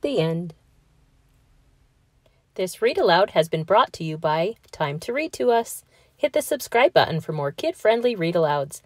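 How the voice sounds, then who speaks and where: A woman reading a rhyming children's story aloud in an expressive, measured storytelling manner. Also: fairly low pitch, no echo, clean studio sound. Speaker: storybook reader. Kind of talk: children's read-aloud, rhyming scripted narration.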